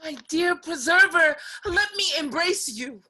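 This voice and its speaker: A gracious young romantic heroine of Restoration stage comedy, played by a woman. Her voice is high and bright, with noble, formal delivery. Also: expressive, measured pace, heavily acted, low-quality recording. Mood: grateful. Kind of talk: theatrical period comedy acting.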